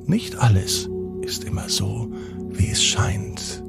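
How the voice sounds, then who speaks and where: Slow, expressive man telling bedtime stories. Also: fairly low pitch, creaky. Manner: deliberate, expressive, with drawn-out pauses. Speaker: storyteller and host of a children's bedtime podcast. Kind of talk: bedtime story narration for children. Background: music.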